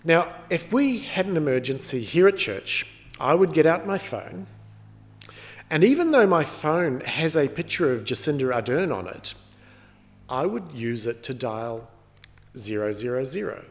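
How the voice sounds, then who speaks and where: A man's voice: a little high, very animated, measured pace. A middle-aged man, a pastor giving a sermon in a conversational, storytelling way. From New Zealand.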